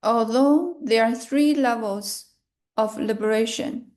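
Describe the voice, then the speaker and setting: Very slow woman leading meditation. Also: fairly high pitch, darkish tone. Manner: measured, solemn, short phrases with long pauses. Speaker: guided meditation leader. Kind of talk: slow guided meditation and prayer recitation.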